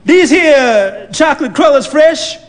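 Brief: demanding old lady with a high drawl and swooping pitch, put on by a male storyteller; reverb-heavy hall. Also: animated, slow pace, heavily acted. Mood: suspicious. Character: bossy, sharp-tongued elderly woman. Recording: hall reverb, faint noise.

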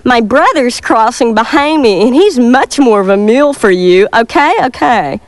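A woman's voice: fairly high pitch, animated, a little slow, no room sound, voice pushed up. A woman voicing the middle billy goat, a nervous male goat with a medium sort of voice who pleads to be let by.

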